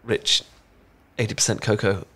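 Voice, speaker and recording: A man's voice: on the low side, wildly swooping pitch, moderate pace, sharp tone, breathy edge, heavily acted. A man with a British accent playing an adorkable, shy young chocolatier in an intimate ASMR romance roleplay, stammering and rambling awkwardly. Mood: tender, nervous. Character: adorkable, bashful young male chocolatier confessing a crush.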